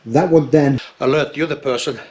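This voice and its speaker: A man's voice: mid-range voice, a little fast, very noisy. A man in his fifties giving a casual, plain-spoken product review to the camera, explaining things step by step. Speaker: outdoor gear reviewer. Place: forest trail outdoors, and small room indoors.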